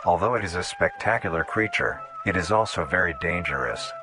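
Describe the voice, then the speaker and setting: A man reading scripted nature documentary narration in a measured, formal, instructional manner. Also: deep voice, animated. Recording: voice over background music.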